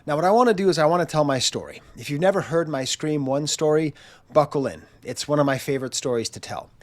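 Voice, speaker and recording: A man's voice: on the high side, quick pace. A man in his forties with a nasal, congested voice from a chest cold, chatting through a self-mocking story in a casual monologue and acting out quotes. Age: 40s. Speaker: channel host.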